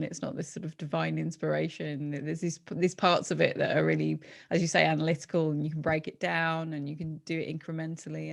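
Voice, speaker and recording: A woman's voice: deep voice, gravelly. A woman hosting a casual interview, speaking in an encouraging, agreeable and conversational way. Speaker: interviewer, host.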